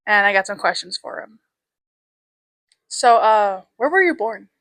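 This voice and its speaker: A teenage girl working as a student reporter, asking brisk, cheerful interview questions. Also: mid-range voice, expressive, fairly bright tone, dry recording. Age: teens.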